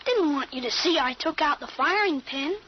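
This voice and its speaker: A cool-headed thirteen-year-old boy with a very high voice, speaking in earnest, adult phrases. The style is old radio drama, on a dull recording with faint hiss. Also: expressive, moderate pace. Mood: confident, insistent. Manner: articulate, assured, adult vocabulary.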